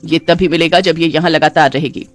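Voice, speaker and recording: A woman's voice: low-pitched, steady tone, fairly quick, soft background music, natural voice. A woman acting a harsh, scolding mother-in-law. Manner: harsh, taunting. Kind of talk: Hindi cartoon story voice acting.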